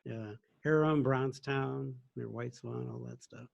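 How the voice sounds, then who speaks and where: A man's voice: mid-range voice, a little slow, creaky, muffled recording. A man chatting casually on a video call, friendly and laughing easily. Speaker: newly appointed university regent.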